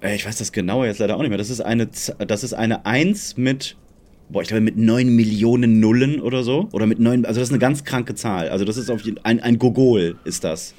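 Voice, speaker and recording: A man's voice: lowish voice, brisk, darkish tone. A man with a German accent bantering casually as a podcast co-host, in colloquial, slangy language.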